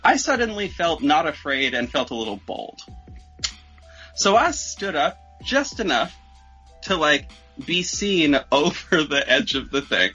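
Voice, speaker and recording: A man's voice: fairly high pitch, unhurried, husky, muffled recording, soft background music. A man telling a story casually on a podcast, swearing freely and acting out what people said. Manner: expressive, acts out quoted remarks. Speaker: podcast speaker.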